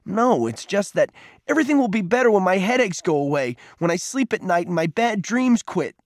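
A man voicing a furious, wounded boy in an audiobook story, bitter and taunting, shouting his accusations. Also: high voice, sing-song, brisk, no echo, studio quality, pitched above the performer's own voice. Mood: bitter, hurt.

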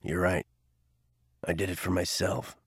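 Man doing a subdued older mentor, low hushed voice, regretful, dry. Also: flat delivery, a little slow, husky, no echo, full character voice.

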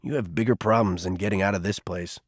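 A man doing a low, stern older mentor. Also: fast, dry recording, clean studio sound. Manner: low, quiet and firm. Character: gruff older male mentor, stern but teasing.

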